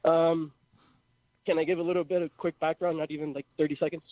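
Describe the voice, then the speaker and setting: A man's voice: on the high side, flat delivery, quick pace, dry recording. A man in his twenties with a Mexican accent, calling in to a live show and rambling through his idea with many fillers. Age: 20s. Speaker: caller. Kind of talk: phone-in call to a live show. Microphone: phone. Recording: tinny phone line.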